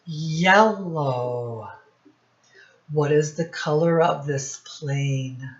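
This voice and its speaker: A woman teacher speaking to young children in a singsong instructional style, with simple phrases and deliberate repetition. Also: low voice, reverberant. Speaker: children's teacher and read-aloud host. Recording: dull, lo-fi sound.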